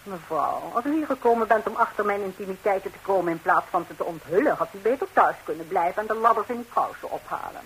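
An actress playing a composed, sharp-tongued betrayed wife in a 1960s radio comedy, answering with cool, pointed deliberation on a hissy recording. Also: female voice, mid-range voice, expressive, quick pace, few pauses, lightly acted, low-quality recording. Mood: cutting.

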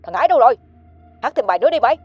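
A woman voicing a drunk man at a party, shouting in a slurred, rowdy way over a phone call in an audio story. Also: fairly high pitch, very animated, rapid-fire, breathy edge, no echo, soft background music, voice pushed up. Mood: drunken, rowdy.

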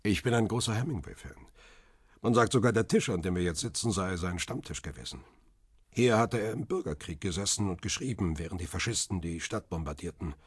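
Deep, raspy wry male photographer. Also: animated, brisk, no echo, studio quality. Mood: courteous, guarded. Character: worldly male press photographer, guarded and dryly ironic. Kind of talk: radio crime drama dialogue.

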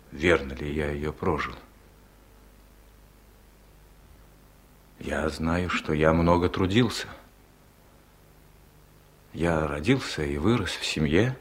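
A low-voiced older man delivers a radio play monologue as an honest, weary farm chairman. He speaks very slowly and pensively, with a husky tone and halting, solemn phrasing. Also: exaggerated voice. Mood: pensive, subdued.

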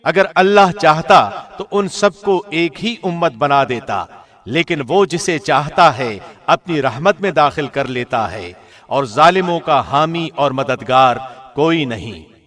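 A man with a slightly high voice narrates translated scripture. His delivery is formal, measured and solemn, like a careful scripted reading. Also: lively intonation, a bit bright.

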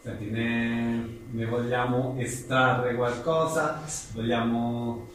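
Guy asking questions at a live talk, really slow n tentative, very echoey, faint noise. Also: medium pitch, level tone. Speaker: interviewer. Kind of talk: informal live interview. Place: echoey indoor venue at a live event. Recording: very echoey room, distant mic, faint noise.

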